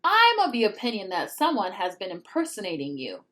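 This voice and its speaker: A woman's voice: medium pitch, wildly swooping pitch, a little fast, sharp tone. A woman voicing a formal, gullible male school principal in a storybook read-aloud.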